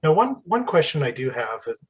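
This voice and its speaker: A man hosting a casual interview, chatty and rambling, with asides and the odd throat clearing. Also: on the high side, sing-song, a little fast. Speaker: podcast host.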